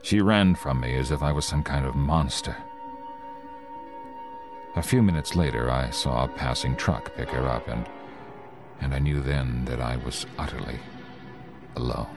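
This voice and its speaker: Haunted lone driver narrating a suspense radio drama, male actor: extremely low, brooding and halting, music beneath. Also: creaky. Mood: despairing, weary. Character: haunted, lonely male driver recounting his terror.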